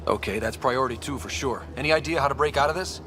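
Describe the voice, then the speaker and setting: An actor playing a determined young human space explorer in a video game, brisk and earnest. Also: male voice, mid-range voice, in a large hall, over music, lightly acted. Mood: tense, determined. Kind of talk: naturalistic video game acting.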